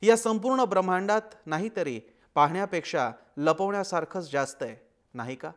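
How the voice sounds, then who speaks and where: A man giving a dramatic, scripted literary reading with measured, expressive phrasing. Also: high-pitched.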